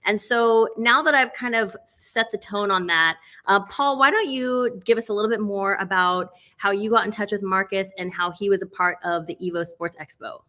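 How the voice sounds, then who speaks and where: A woman leads a casual interview with a bright, forceful voice. She talks upbeat, laughs often and fills pauses with "uh" and "um". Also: mid-range voice. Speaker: host.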